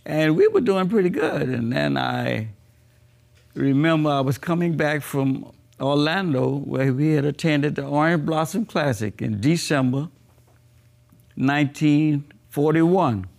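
A man in his eighties, born in the Bahamas, telling his life story slowly and reflectively in an oral history interview. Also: fairly high pitch, vocal fry, a little mellow. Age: 80s.

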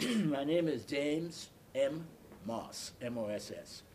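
An elderly man recalls his life in an interview, speaking as a deliberate, halting storyteller who repeats and explains. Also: fairly high pitch, expressive, darkish tone, a little hiss or hum. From Bahamas. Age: 80s or older. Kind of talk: oral history recollection.

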